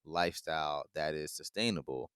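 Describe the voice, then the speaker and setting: Man, podcast host, very deep, very smooth voice, rambling casual talk. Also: moderate pace, a bit bright, no room sound, studio quality. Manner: rambling, full of "like" and restarts.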